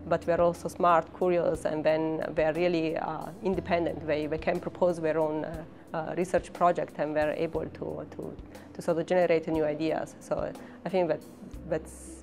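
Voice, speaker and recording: A woman's voice: deep voice, a little mellow. A woman in her thirties with an Italian accent, a professor speaking hesitantly in an interview about her work. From Italy. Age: 30s. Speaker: professor and research scientist.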